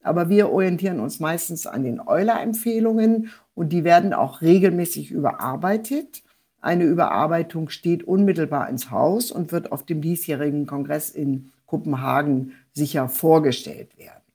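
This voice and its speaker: Low, mellow-voiced woman explaining medicine. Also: slightly rough. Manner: measured, structured, uses technical jargon. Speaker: rheumatologist, professor, expert speaker. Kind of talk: explanatory medical expert talk.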